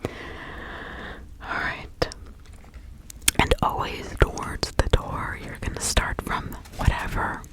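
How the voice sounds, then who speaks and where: A woman playing a helpful nature witch in a whispered ASMR roleplay, giving instructions in a breathy, halting, conversational way. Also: lightly acted, low-quality recording. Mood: patient, instructive. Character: practical, helpful nature witch advising a client in 1656.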